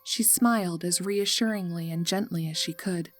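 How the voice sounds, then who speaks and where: A woman narrator reading a scripted horror story in a measured, dramatic way. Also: fairly low pitch, soft background music.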